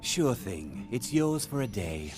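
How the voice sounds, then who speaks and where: A man's voice: fairly low pitch, very animated, slow, a little mellow. A man playing a matter-of-fact innkeeper in a fantasy video game. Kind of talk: video game character dialogue. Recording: faint music behind the voice.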